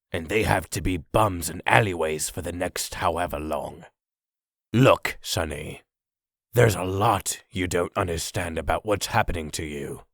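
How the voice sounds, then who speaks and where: A man playing a sly, knowing old vagrant with a raspy voice and a folksy, condescending manner in a comic dub. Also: deep voice, dry recording, studio quality, exaggerated voice.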